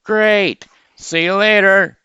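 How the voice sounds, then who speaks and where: A man doing a loud, boastful big-mouthed frog. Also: fairly even intonation, takes it slowly, clear, resonant tone, no echo, dull audio, heavily acted. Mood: boastful cheery. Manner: high, loud, boastful greetings.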